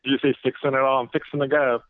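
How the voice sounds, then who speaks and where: A man's voice: medium pitch, flat delivery, rapid-fire, no echo. Chatty male guest, dull dry sound. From Florida. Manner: chatty, laughing easily. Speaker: guest author. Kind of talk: casual radio interview.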